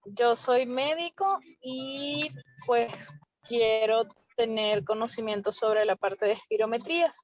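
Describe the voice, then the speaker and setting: A woman physician from Ecuador introducing herself briefly and softly on a video call. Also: fairly high pitch, takes it slowly. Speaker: physician, course participant. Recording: distant, dull video call audio.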